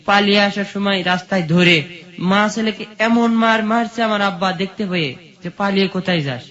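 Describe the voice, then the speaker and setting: A man's voice: high-pitched, brisk, a bit bright. A man with a Bangladeshi accent telling his own life story as an interview guest. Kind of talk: personal life-story narration. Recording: dull lo-fi sound.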